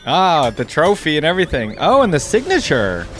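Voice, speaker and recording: A man giving casual, off-the-cuff reaction commentary as a video host, rambling as he thinks out loud. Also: on the high side, wildly swooping pitch, moderate pace, soft background music.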